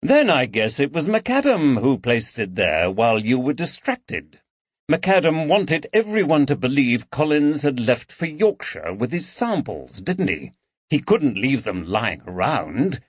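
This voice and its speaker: A man's voice: medium pitch, big pitch swings, unhurried, no echo, lo-fi sound, voice pushed up. A man voicing a shrewd, coldly mocking detective in an audiobook, with a dry, taunting manner. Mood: cold, triumphant. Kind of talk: audiobook character dialogue.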